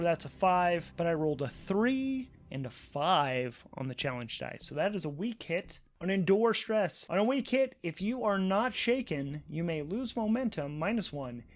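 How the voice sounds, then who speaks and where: High-voiced man narrating a solo game. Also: a little slow, hardly any pauses. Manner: animated, conversational, thinking aloud. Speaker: host and solo game master. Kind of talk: solo tabletop game actual-play narration. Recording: background music under the voice. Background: music.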